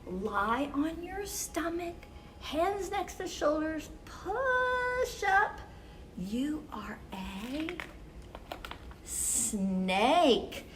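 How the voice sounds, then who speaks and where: Higher-voiced woman reading slowly to children. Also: big pitch swings, a little hiss or hum. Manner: measured, deliberate phrasing with emphasis for young listeners. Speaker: children's story time host. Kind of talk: expressive read-aloud of children's picture books.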